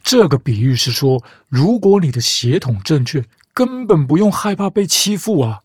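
A woman's voice: deep voice, sing-song, normal speed, darkish tone, slightly rough, dry recording, clean studio sound. A woman hosting a comic storytelling show, lecturing in a scripted, argumentative way and ending her points with rhetorical questions. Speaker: storyteller host. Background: background music.

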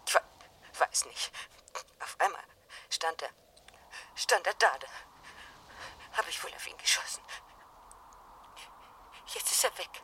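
An actress playing a terrified wife near collapse, stammering and repeating broken phrases in a crime radio drama. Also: female voice, low-pitched, lively intonation, a little slow, pauses often, bright, forceful tone, exaggerated voice. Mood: shattered, tearful. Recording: old radio play, faint noise.

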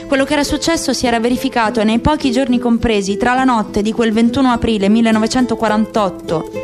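A woman's voice: on the high side, fast talker, music in the background. A woman giving a dramatic literary narration in a radio theatre style. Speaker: narrator, stage actress.

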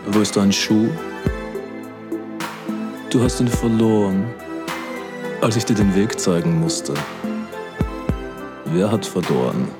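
An actor playing a pleading, urgent companion in a radio play, coaxing in short, halting phrases. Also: male voice, on the low side. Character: pleading, urgent male companion lost in a forest. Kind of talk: slow radio-play acting. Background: music.

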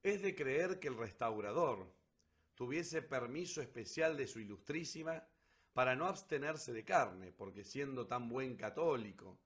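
A man narrating a literary story for radio theatre in an expressive, declamatory way with ironic emphasis. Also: medium pitch, measured pace.

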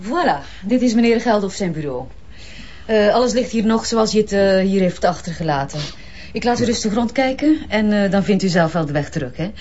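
A Flemish actress playing a smooth, evasive, self-assured businesswoman in a crime drama, with a polished and hurried manner. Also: mid-range voice, animated, close to an everyday voice. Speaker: actor. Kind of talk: naturalistic crime drama acting. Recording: slightly muffled sound.

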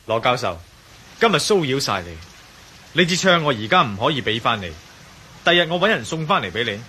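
A bold, suspicious adventurer in a Cantonese radio drama with a Hong Kong accent. He has a medium-pitched voice and mutters his thoughts aloud with theatrical intensity. Clear noise of rain and cars runs behind him. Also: big pitch swings, a little fast, bright, forceful tone. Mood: firm.

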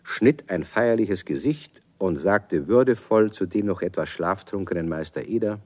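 A man's voice: low-pitched, lively intonation, normal speed, a little mellow. A man narrating a scripted children's story in a radio play.

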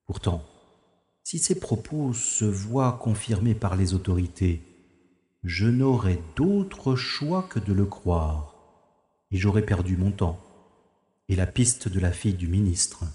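A man acts a space special agent in an audio drama. His voice is fairly low and rough-edged, with lively, theatrical swings as he questions insistently and dramatically. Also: heavily acted. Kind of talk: French audio drama acting.

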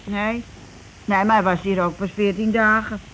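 An actress as a plain-spoken wife, noisy recording. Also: female voice, mid-range voice, steady tone, normal speed, a bit bright, close to an everyday voice. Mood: curious. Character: plain-spoken working-class wife, defensive and curious. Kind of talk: radio play acting. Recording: noisy old radio play recording.

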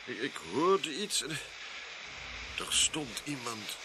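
An actor playing a pompous, easily flustered police inspector in a radio crime drama, blustery and prone to stammering. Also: male voice, mid-range voice, very animated, takes it slowly. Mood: dazed, groaning. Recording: noisy old radio drama recording.

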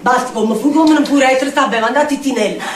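A woman with a Neapolitan accent performing an expressive theatrical storytelling monologue in dialect, repeating phrases like an incantation. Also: high voice, fast. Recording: echoey distant stage recording with noise.

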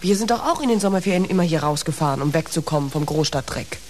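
A woman's voice: sits low, expressive, fast talker, a little mellow. Earnest, lonely woman, hissy recording. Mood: reproachful. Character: earnest, lonely niece in love with the doctor. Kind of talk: naturalistic German radio drama acting. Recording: hissy radio play recording.

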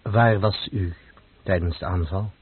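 An actor playing a reserved, thoughtful foreign visitor who speaks formally and politely in a radio play. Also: male voice, sits low, measured pace, breathy edge. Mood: grave, courteous. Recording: dull lo-fi old radio play recording.

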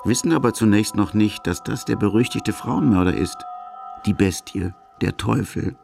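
A man's voice: on the low side, moderate pace, creaky, darkish tone. A man reading scripted narration for a radio documentary. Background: music.

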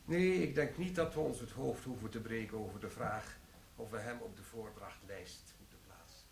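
An actor playing a formal, coolly dry security manager in a radio play. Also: male voice, lowish voice, moderate pace, vocal fry, reverberant, slight background noise. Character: stern middle-aged security manager, dry and cool.

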